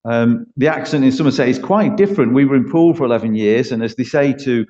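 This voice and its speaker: A middle-aged man with a Manchester accent preaches a sermon, chatty and self-deprecating, tossing in jokes and rhetorical questions. His voice is dark and mellow. The recording is dull and lo-fi. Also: medium pitch, expressive, fast talker. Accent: Mancunian. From East Manchester, England. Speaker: church leader preaching as a guest.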